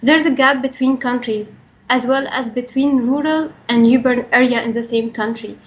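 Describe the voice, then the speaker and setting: A woman's voice: a little high, fairly even intonation, moderate pace. A young woman with an Egyptian accent giving a prepared talk in an earnest, formal manner with careful phrasing. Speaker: regional coordinator for a young workers' organisation. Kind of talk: prepared talk, reading statement. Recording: dull, band-limited recording.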